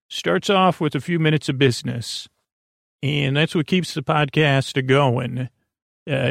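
A man with a creaky voice hosting a bedtime podcast, meandering gently and hesitantly, often interrupting himself in a soothing way. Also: mid-range voice, no echo, studio quality. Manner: soothing, gentle, soft-spoken. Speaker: podcast host. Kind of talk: rambling bedtime podcast monologue.

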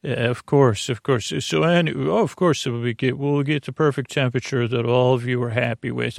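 A man hosting a bedtime podcast in a creaky, lulling voice, meandering and halting as he repeats himself and drifts into tangents. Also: medium pitch, no room sound, clean studio sound. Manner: soothing, lulling. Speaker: podcast host. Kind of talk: rambling bedtime sleep podcast monologue.

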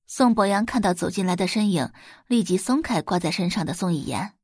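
Expressive woman narrating an audio drama. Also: lowish voice, fairly quick, slightly rough, dry recording, clean studio sound. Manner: expressive storytelling.

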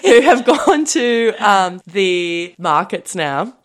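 A woman's voice: medium pitch, big pitch swings. A woman co-hosting a casual, tipsy chat podcast, speaking while she has a blocked nose. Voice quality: nasal. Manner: chatty, giggly, quick asides. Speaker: podcast co-host.

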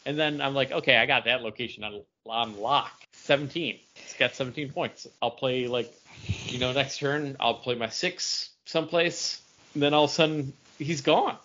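Male co-host with a sharp, forceful, mid-pitched voice, playful storyteller in casual chat. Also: normal speed, lo-fi sound. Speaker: podcast co-host. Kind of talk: casual chat about games.

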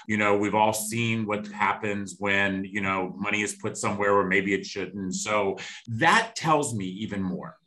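A man's voice: lowish voice, a little slow, hardly any pauses, pushed and bright, a little hiss or hum. A man in his fifties hosting an interview show, blunt and emphatic, often saying "you know" and "okay". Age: 50s. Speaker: show host.

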